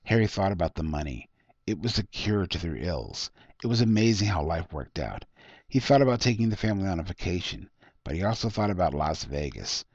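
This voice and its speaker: A man with a low voice narrates fiction from a script, as an author reading his own work. The recording is dry and somewhat dull, with no room sound. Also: very animated, a little fast, darkish tone, no room sound.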